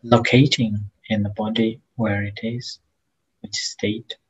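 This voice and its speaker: A man leading a guided meditation as a teacher, speaking gently and haltingly with long pauses between phrases, in a husky, breathy voice. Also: lowish voice, flat delivery, lo-fi sound.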